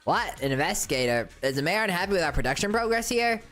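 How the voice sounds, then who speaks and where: A man's voice: high-pitched, very animated, quick pace, a bit bright, soft background music. A man voicing a jumpy, evasive factory worker in a video game. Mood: flustered, defensive.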